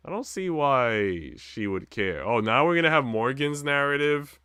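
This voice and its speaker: A man's voice: mid-range voice, big pitch swings, moderate pace, pushed and bright, clean tone, no echo, studio quality. A man streaming a visual novel, reading the story narration expressively with casual asides.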